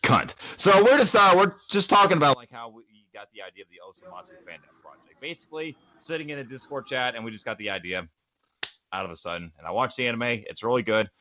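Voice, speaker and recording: A man hosting a podcast in casual chat, rambling and repeating his phrases over a low-fidelity voice call. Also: lively intonation, quick pace, sharp tone. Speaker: podcast host. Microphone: voice call mic, close.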